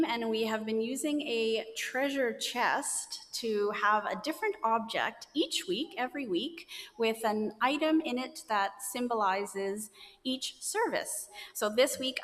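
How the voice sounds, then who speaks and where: A woman's voice: fairly high pitch, fairly bright tone. A woman leading a church service, chatting casually through announcements with fillers and restarts. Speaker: service leader. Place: church sanctuary. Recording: long hall reverb, organ music behind.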